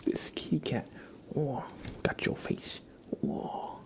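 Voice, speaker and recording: A young adult man speaking softly and gently as an ASMR livestreamer, half whispering as he chats casually to viewers. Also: slow pace. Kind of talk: soft-spoken ASMR, casual chat with viewers.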